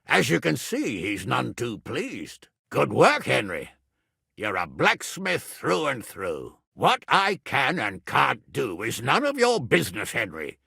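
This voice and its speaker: An actor playing a blustering, irascible middle-aged medieval nobleman in a video game, with a gravelly, raspy voice and a gruff, commanding manner. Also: mid-range voice, huge pitch swings, slow pace, pushed and bright, no room sound, studio quality, exaggerated voice. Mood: gruff, businesslike. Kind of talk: dramatic video game character dialogue.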